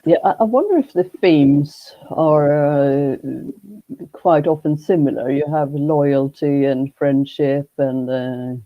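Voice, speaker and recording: A man, a guest speaking in a halting, measured way with frequent restarts. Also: on the high side, dry recording, phone-quality sound. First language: Swedish. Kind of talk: casual conversation.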